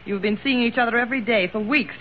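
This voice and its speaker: A woman's voice: fairly high pitch, fast talker, natural voice. A muffled old radio recording with light hiss carries a jealous female troublemaker played by an actress. The delivery is spiteful and theatrical, as in a classic radio play. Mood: accusing. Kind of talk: old-time radio drama acting.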